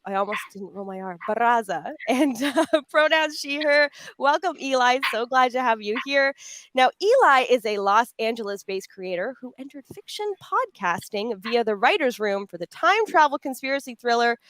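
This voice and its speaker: A woman's voice: sits high, animated, normal speed, a bit bright, no room sound. A woman hosting a panel, chatty and effusive, with emphatic delivery as she addresses guests and audience. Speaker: panel host and audio drama voice actor. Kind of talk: hosting a pre-recorded panel, introducing guests.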